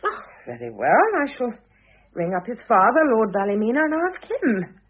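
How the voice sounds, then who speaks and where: An actress playing a shrewd, commanding first wife in an old radio drama, coolly insistent and articulate, on a muffled recording. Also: female voice, medium pitch, huge pitch swings, moderate pace, husky. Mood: accusing, cool.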